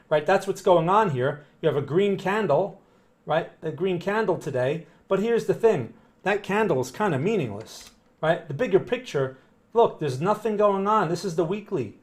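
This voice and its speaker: A man in his thirties with a New Jersey accent, speaking at a slightly higher pitch than most men. He is hosting a live webcam stream from a small room, walking through market charts and reading questions from the chat. His delivery is casual and conversational, with frequent "right?" check-ins. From northern New Jersey, USA. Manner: conversational, often ending with a tag "right?". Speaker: market analyst livestream host. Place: small room in front of a blue backdrop.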